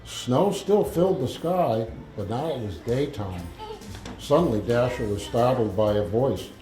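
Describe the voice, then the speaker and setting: A man reading a story aloud to children in a halting, informal manner. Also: mid-range voice, animated, mellow. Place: indoor library room with audience. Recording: echoey room, faint music.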